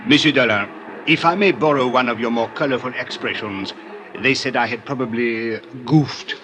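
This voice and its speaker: A man's voice: medium pitch, moderate pace, lightly acted. Man acting suave, wry French police inspector. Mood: wry, defensive. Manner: elaborately courteous, formal, wry. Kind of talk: old-time radio drama acting.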